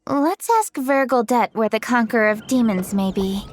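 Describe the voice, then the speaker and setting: A woman voicing a dutiful young official who speaks in a crisp, composed, businesslike way. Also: on the high side, moderate pace, background noise, close to an everyday voice.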